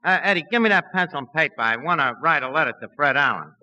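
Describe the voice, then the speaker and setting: A man acting a brash, scheming tavern manager with rough wise-guy grammar in a 1940s radio comedy. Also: a little high, expressive, fast talker, pushed and bright, highs cut off. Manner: uneducated wise-guy talk, bad grammar. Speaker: comic lead in a radio sitcom. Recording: old 1940s radio recording, light noise.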